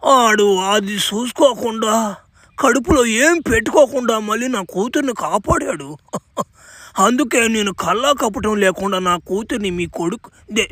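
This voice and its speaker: A man's voice: sits high, pushed and bright, no echo, exaggerated voice. A man voicing a bossy, foul-mouthed village king in a comic cartoon dub, crude one moment and mock-formal the next.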